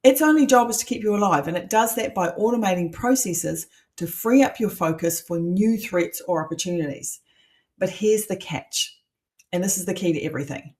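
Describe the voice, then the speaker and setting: A woman's voice: on the low side, normal speed, a little mellow. A middle-aged woman with a New Zealand accent, a coach teaching an online masterclass in an emphatic, persuasive way with rhetorical questions.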